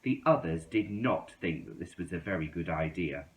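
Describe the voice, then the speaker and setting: Animated man reading a storybook, roomy sound. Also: low voice, normal speed. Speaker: children's story reader. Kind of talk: expressive storybook read-aloud. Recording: roomy, echoing indoor sound.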